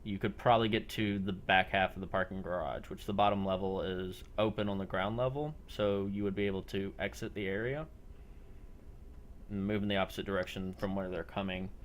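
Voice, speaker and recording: A man narrating a role-play game as game master, speaking in a halting, deliberate way. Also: fairly low pitch, a little hiss or hum.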